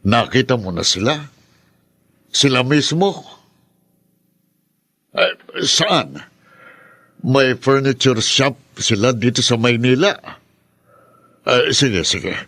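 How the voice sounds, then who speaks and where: An actor playing a gentle older uncle in a radio drama, with a husky voice. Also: male voice, mid-range voice, animated, slow pace, pauses often, no room sound, clean studio sound, exaggerated voice. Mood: relieved, hopeful. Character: gentle older uncle, concerned younger brother.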